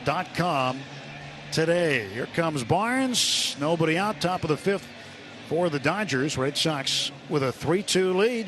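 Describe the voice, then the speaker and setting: A male American baseball announcer with a slightly high voice calls the game live on radio. He takes his time between phrases, with faint ballpark noise behind him. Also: a bit bright. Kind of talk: live sports commentary. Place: ballpark broadcast booth. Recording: live stadium broadcast, ambient noise.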